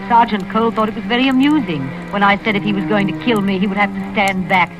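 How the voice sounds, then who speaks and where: A woman's voice: mid-range voice, fairly even intonation, quick pace, over music, lightly acted, low-quality recording. Actress as elderly wealthy woman, haughty, prim, imperious, vintage radio drama, musical backing. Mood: prim narrating. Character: haughty, wealthy old lady in a wheelchair, prim and defiant. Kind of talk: 1940s radio acting, first-person narration and dialogue.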